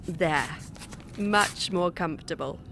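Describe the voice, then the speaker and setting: A woman's voice: on the low side, slow. A woman playing a brave, composed young wife, polite and coaxing, in an audio drama. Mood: calm coaxing. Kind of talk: theatrical audio drama acting. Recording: clear background noise.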